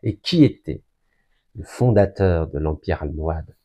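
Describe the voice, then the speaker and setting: A man from Algeria giving an emphatic, deliberate public speech that builds long lists of points. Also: a bass voice, animated, mellow. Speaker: political speaker.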